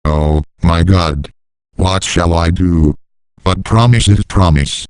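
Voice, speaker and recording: A storyteller voicing a farmer father from a folk tale, speaking in a plain, deliberate way. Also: low voice, darkish tone, smooth, no room sound, clean studio sound, voice pushed down. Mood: dismayed. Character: anxious, grateful old-time farmer father.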